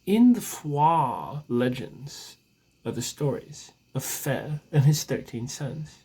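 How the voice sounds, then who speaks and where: A man with a husky voice reads a storybook legend aloud as narrator, stretching words with theatrical emphasis. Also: on the high side, expressive, slow pace, warm tone, rough recording.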